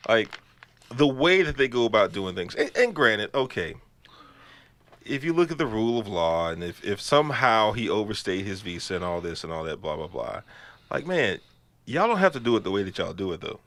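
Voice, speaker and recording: A man's voice: on the low side, very animated, moderate pace, a bit bright. A man co-hosting a podcast in casual, comic chat, riffing and acting out little scenes. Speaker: podcast co-host.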